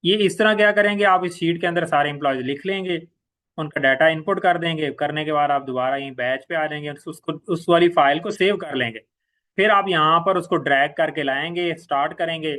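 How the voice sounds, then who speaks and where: A man with a Pakistani accent giving an informal step-by-step software tutorial as a trainer, repeating key points and mixing in English terms. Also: a little high, fairly quick. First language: Urdu.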